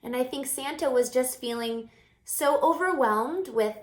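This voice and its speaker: A woman reading a children's story aloud in an expressive, explaining manner, pausing to put questions to young listeners. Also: high-pitched. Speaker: story reader and teacher. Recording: roomy, echoing room sound.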